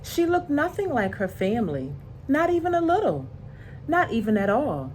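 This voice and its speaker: A woman reading a picture book aloud to young children in an expressive, deliberate way, pausing to explain things in simple words. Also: high-pitched, a little hiss or hum, low-quality recording.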